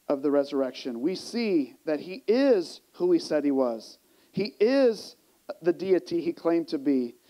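A man in his forties preaching a sermon as a pastor, with an emphatic delivery that moves between hushed and raised. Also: high voice, low-quality recording. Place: church sanctuary stage, indoors. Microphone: small mic clipped to the chest.

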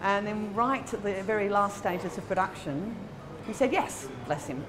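An Australian woman in her forties talking conversationally in an interview as a film producer, in an animated, emphatic, informal manner. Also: mid-range voice, normal speed. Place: indoor room by a bright window at a festival. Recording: chatter of people in the background.

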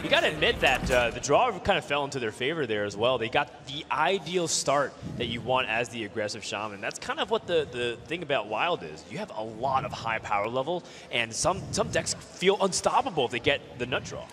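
A man's voice: medium pitch, sing-song, a little fast, few pauses, noisy background. A man giving live commentary as an esports commentator at a video game tournament.

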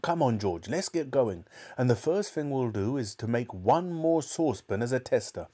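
A man voicing a wildly excited, bossy farmer father in a storybook, exclaiming emphatically and boastfully. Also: medium pitch, moderate pace, pitched above the performer's own voice, close to an everyday voice. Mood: impatient.

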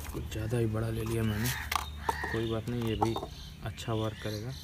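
A man's voice: fairly low pitch, completely flat delivery, fairly quick. A man giving a casual hands-on tutorial as host of a DIY video, recorded on a phone. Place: open concrete rooftop outdoors. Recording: noisy outdoor recording with cawing crows. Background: loud outdoor ambience, crows cawing.

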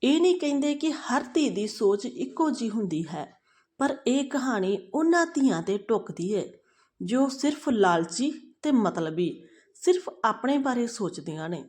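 A woman's voice: a little high, very animated, unhurried, a little mellow. A woman narrating a moral family story as a storyteller.

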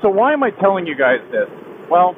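A young man riding a motorcycle on a highway, talking through a helmet mic in a halting, heavy-hearted confession that keeps restarting. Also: big pitch swings. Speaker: motovlogger. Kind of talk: personal confession while riding. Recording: tinny helmet mic with wind and road noise.

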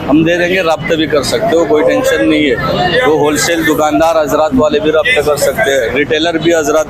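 A man selling clothes at an outdoor market stall, pitching prices in a quick, repetitive salesman's patter. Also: flat delivery, a loud crowd, rough recording. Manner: brisk salesman patter, repeats prices and "look at this". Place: outdoor street market beside traffic. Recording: loud street noise. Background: loud traffic and street noise.

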